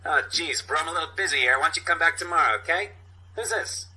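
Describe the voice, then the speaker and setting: A man's voice: fairly high pitch, fast talker, pushed and bright, voice pushed up, rough recording. A man voicing a foul-mouthed, indignant street newspaper vendor in a comic read-through of a cop show script. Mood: annoyed, defensive. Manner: wheedling, indignant.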